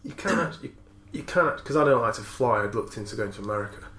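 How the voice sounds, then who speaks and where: A man's voice: fairly low pitch, lively intonation, fast, a bit bright. A man in casual conversation, swapping anecdotes about films in an informal, sweary storytelling manner. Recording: roomy, echoey sound.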